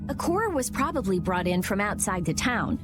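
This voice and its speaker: A woman's voice: mid-range voice, big pitch swings, normal speed, close to an everyday voice. A woman playing a determined, blunt teenage girl mage and researcher in a video game. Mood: focused. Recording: music under the voice.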